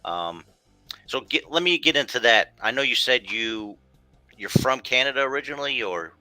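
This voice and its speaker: A man's voice: medium pitch, animated, normal speed, sharp tone, no echo, faint music behind, rough recording. A man hosting an interview show in a loose, rambling conversational way. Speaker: show host. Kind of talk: casual interview chat.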